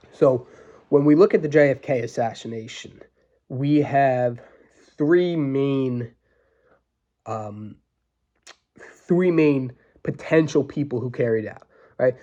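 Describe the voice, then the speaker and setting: A congested man getting over the flu, hosting a solo history podcast in an informal, halting, opinionated way, often checking in with the listener. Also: medium pitch, darkish tone, dull audio. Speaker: podcast host.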